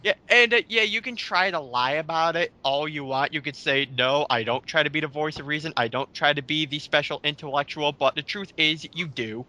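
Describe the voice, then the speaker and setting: A man's voice: a little high, a little fast, few pauses, sharp tone, poor audio. A man giving blunt, profane, scornful commentary in a casual rant. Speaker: commentary host.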